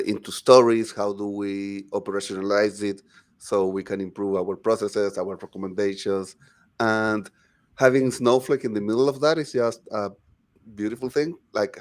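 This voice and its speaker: A man's voice: lowish voice, clean tone. A man from Chile, hosting a live-stream panel chat in a playful, enthusiastic way over a video call. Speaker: host.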